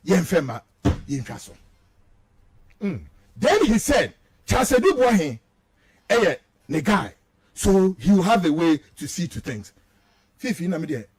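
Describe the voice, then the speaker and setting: Male Ghanaian radio commentator, very high-pitched and widely swinging delivery, combative and indignant, hammering points through repetition. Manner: combative, emphatic, indignant, repeats words for emphasis. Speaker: radio show guest commentator. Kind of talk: heated political commentary mixing English and Twi.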